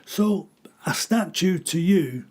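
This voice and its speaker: A man's voice: sits high, expressive, takes it slowly. An older man born in Scotland, telling rambling stories as an interview guest and often repeating words while he hunts for names. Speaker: guest, former rugby player. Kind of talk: conversational interview storytelling.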